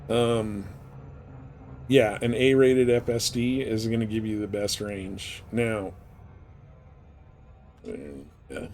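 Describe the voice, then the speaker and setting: A man's voice: on the low side, little pitch movement, takes it slowly, long pauses, a little mellow, a little hiss or hum. A man livestreaming a space game, chatting casually and rambling informally as he thinks aloud. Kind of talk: casual chat while playing a space game.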